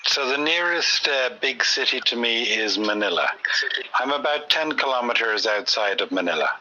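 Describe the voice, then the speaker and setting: A man in his forties from Ireland with an Irish accent, chatting casually and patiently slowing down to explain grammar. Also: medium pitch, animated, pushed and bright, highs cut off, a little hiss or hum. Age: 40s. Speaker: conversation partner. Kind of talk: casual chat.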